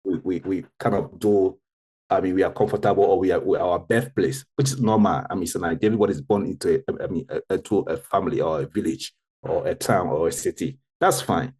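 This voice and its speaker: A man's voice: deep voice, quick pace, a little mellow, slightly rough. A man from Ghana, speaking as a tech founder on a roundtable, earnest and often restarting to correct himself. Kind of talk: roundtable discussion.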